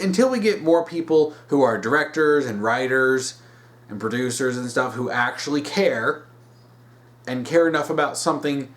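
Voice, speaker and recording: A man hosting a solo opinion video, speaking off the cuff in an emphatic, halting way and repeating himself to stress his points. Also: a little high. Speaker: host of a weekly topic video series. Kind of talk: unscripted solo opinion commentary.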